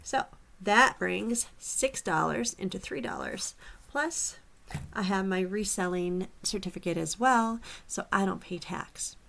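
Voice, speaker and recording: A woman's voice: mid-range voice, measured pace, gravelly, a bit bright. A woman from Upper Michigan with a mixed Upper Michigan and Southern Florida accent, chatting casually to her viewers about reselling. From Upper Peninsula of Michigan. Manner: chatty, friendly, addresses viewers as y'all. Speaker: reseller vlogger. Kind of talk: casual direct-to-camera vlog.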